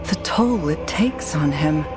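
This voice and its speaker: A woman playing a solemn, protective noblewoman counselor in a game cinematic, grave and measured with concern. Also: low voice, expressive, over music. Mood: worried. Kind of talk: video game cinematic acting.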